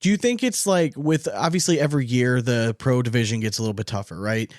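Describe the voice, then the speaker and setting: A man's voice: medium pitch, fast, darkish tone, no room sound. Man, podcast host, casual sports chat, rambling questions, mid-range voice, dry close recording.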